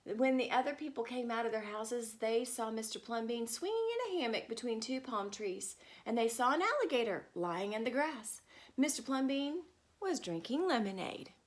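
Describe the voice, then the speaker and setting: A woman reading a picture book aloud to children, expressive and stopping to ask her listeners questions. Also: on the high side, normal speed. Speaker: storyteller.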